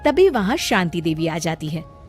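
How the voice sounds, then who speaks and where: A woman's voice: fairly low pitch, very animated, normal speed, music in the background. A woman reading scripted storybook narration for a cartoon, as the storyteller.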